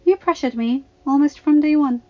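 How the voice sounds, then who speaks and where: A woman's voice: high-pitched, normal speed, highs cut off, pitched above the performer's own voice, natural voice. A woman voicing a proud young female senator in an audio story, formal, firm and icy. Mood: stern, cold. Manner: stern, formal.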